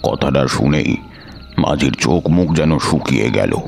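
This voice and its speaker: An actor playing an aging tantric storyteller who muses slowly, in old-fashioned phrasing, about his past. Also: a bass voice, expressive, vocal fry, soft background music, heavily acted. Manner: slow, musing, old-fashioned speech. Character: aging male tantric storyteller, weary and reflective. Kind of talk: audio drama narration and dialogue.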